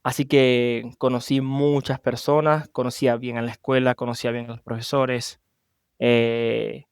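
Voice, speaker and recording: A man's voice: mid-range voice, clear, resonant tone, no echo, clean studio sound. A man from Venezuela with a Venezuelan Spanish accent, talking about himself as a podcast guest in a casual interview. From Maracaibo, Venezuela. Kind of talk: personal storytelling in a casual interview.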